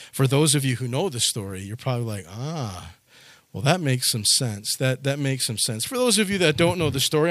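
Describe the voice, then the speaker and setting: A man's voice: on the high side, fairly bright tone, dry recording. Folksy conversational male preacher, dry sound. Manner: conversational, folksy, with frequent repetitions and asides. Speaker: pastor. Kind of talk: sermon.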